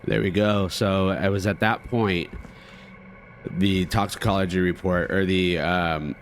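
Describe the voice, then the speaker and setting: A man's voice: sits low, steady tone, a little slow, slight background noise. An American man doing casual, slangy game commentary, thinking aloud as he plays. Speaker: gaming streamer.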